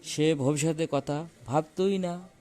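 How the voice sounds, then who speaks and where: A man's voice: on the high side, slow, warm tone. A man reading a scripted fable as a storyteller.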